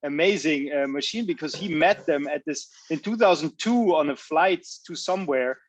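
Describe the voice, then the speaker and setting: A man's voice: on the high side, moderate pace. A man hosting a podcast interview in a casual, chatty way, often repeating phrases. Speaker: podcast host.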